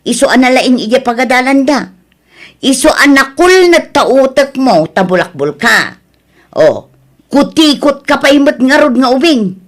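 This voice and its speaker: Snobby ilocano mom in a radio drama, bragging and scolding, gossipy bite. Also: female voice, high voice, expressive, measured pace. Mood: accusing, scolding. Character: boastful, mocking mother who scorns neighbours' children. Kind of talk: audio drama acting.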